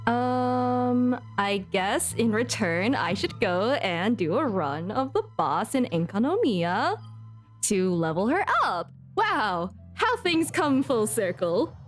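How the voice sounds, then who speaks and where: A woman chatting casually as she livestreams a game, playful and expressive, often mock-scolding. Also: on the high side, slow. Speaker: game streamer. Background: game music.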